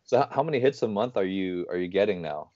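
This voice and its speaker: A man in his thirties hosting a casual interview, telling stories in quick, animated bursts. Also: sits low, no echo, muffled recording. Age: 30s. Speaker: podcast host.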